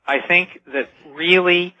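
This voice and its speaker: A man explaining things as a radio interview guest over the telephone, earnest and deliberate, stressing key words. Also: sits high, steady tone, pushed and bright, no room sound. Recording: dull, band-limited telephone line.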